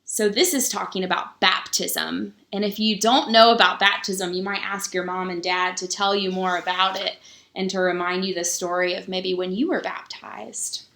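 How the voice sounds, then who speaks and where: A woman pastor reading a children's book aloud for story time, pausing to explain things simply to young listeners. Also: medium pitch, steady tone, a bit bright. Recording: roomy, echoing sound.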